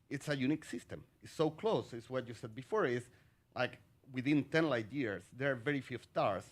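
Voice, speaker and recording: A middle-aged man from Chile speaks as an astronomer on a panel. His talk is halting, full of fillers and restarts, in a mid-range voice. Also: mid-range voice, fairly bright tone.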